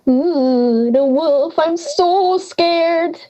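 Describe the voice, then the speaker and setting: A woman's voice: very high voice, very slow, dark tone, full character voice. A young woman playing a cranky, forgetful old granny in an audio drama, brusque, sarcastic and theatrical. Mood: mocking. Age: young adult.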